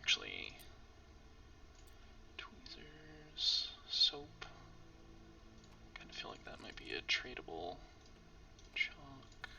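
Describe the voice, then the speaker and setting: A man with a voice worn down by illness mumbles and half-whispers his way through a game, slow and gravelly, with a bright, tense edge. His pitch wanders widely even when his voice cracks. The recording is dull and lo-fi, picked up from off the mic with faint hiss. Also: distant mic. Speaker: streamer playing a game. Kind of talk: casual let's-play commentary.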